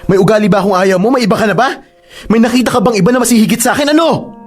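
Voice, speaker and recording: High-pitched, pleading young boyfriend. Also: male voice, very fast. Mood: confused, demanding. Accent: Filipino. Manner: emotional, pleading. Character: young male college student, affectionate, pleading jilted boyfriend. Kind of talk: Tagalog radio drama acting. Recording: music behind the voice.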